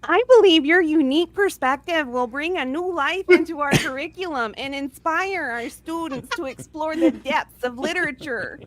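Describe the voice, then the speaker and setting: A woman's voice: very high-pitched, big pitch swings, takes it slowly, a bit bright, full character voice. A woman voicing a jovial, enthusiastic woman school principal in a storybook, gushing and theatrical. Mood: gushing welcome.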